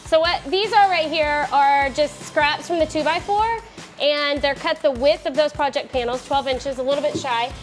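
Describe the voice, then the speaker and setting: High-voiced woman chatting through a build. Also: normal speed, soft background music. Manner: chatty, self-correcting, laughs often. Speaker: DIY woodworking host. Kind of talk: casual build tutorial. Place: home garage workshop.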